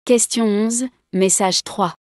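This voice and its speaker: A woman's voice: mid-range voice, steady tone, slow, darkish tone. A woman reading scripted exam announcements as a test narrator.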